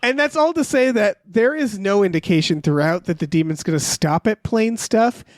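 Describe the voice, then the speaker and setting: Man, podcast co-host, high voice, dry close sound, rambling incredulous comedic recap. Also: animated, normal speed, dry recording. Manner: rambling, incredulous, swears casually. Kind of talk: casual comedic TV recap chat.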